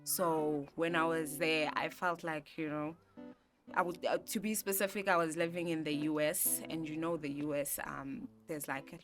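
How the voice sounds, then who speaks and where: Low-voiced South African woman in her twenties. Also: fairly even intonation, moderate pace. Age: 20s. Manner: soft-spoken, reflective, with many fillers and restarts. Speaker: interviewee, graphic designer. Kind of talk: relaxed personal interview. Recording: music bed playing behind voice.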